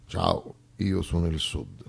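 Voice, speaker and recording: A man's voice: low-pitched, sing-song, slow pace, vocal fry, husky, heavily acted. A man playing the South personified as a weary, plaintive one-legged underdog, plodding and stubborn in a comic stage dialogue. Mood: weary, hesitant. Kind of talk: theatrical comic monologue dialogue.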